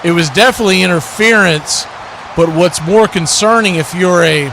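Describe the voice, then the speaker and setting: A man doing live play-by-play football commentary for a stream, in clipped phrases that grow excited on big plays. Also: high-pitched, normal speed, noisy background.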